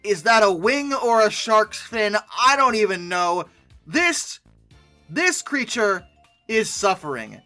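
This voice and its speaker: This is a video host delivering humorous reaction commentary with a bright, forceful edge. The pitch moves around a great deal, and the delivery is sardonic and theatrically exasperated. Phrases get repeated for emphasis, and music plays quietly behind the voice. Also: very animated, slow pace. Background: background music.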